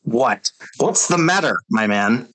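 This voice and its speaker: A man's voice: mid-range voice, animated, takes it slowly, fairly bright tone, poor audio. Man as a curt, stern old general. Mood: startled, brusque. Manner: curt, authoritative.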